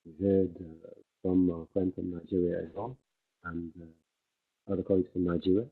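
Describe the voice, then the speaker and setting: A man interpreting a conference speech on the fly, halting often with fillers as he keeps up with the speaker. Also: sits low, steady tone, vocal fry, breathy edge. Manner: halting, many fillers and restarts while keeping pace with the speaker. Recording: tinny phone-like livestream audio.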